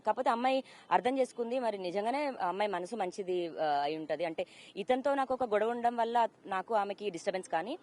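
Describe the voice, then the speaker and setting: A woman's voice: medium pitch, expressive, fast, a little mellow. A woman, giving a personal account as an interview guest and mixing in English words. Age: 30s. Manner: code-mixes English words into her talk.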